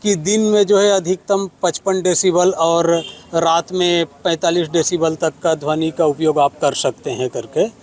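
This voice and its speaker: A man speaking as a government official, giving a halting, measured and formal statement to reporters. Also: on the high side, steady tone, darkish tone.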